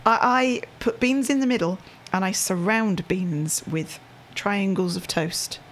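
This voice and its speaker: A woman's voice: medium pitch, unhurried, a little hiss or hum. A middle-aged British woman chatting casually, good-humoured and easily amused. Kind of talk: casual chat.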